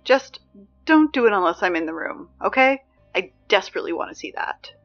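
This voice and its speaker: A woman's voice: medium pitch, lively intonation, a little fast, faint music behind. Muffled, exasperated woman as school announcer. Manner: dry, exasperated, emphatic. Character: exasperated, sarcastic high school announcer reading morning announcements. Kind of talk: scripted fiction podcast, PA announcements.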